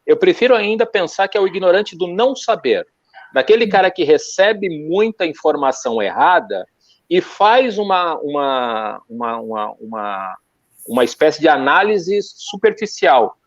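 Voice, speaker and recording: Male host, relaxed and slangy; muffled close recording. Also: on the high side, normal speed, no room sound. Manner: relaxed slang, repeats himself while searching for words. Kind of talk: casual interview chat. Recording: dull, dry sound.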